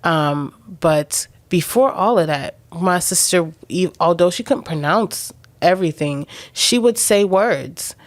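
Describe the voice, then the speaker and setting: A woman's voice: on the low side, lively intonation, slow, hardly any pauses, dry recording. A middle-aged woman whose first language is Hebrew, hosting a health podcast in a chatty way and often restarting her sentences. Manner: chatty, with frequent restarts and soft affirmations. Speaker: podcast host. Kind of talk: casual health podcast chat.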